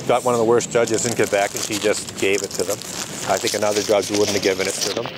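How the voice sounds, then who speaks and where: A man protesting outdoors, talking in an animated, indignant way and laughing often. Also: on the low side, quick pace. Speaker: street protester. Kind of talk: casual outdoor conversation. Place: outdoors on a city street. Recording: outdoor traffic noise.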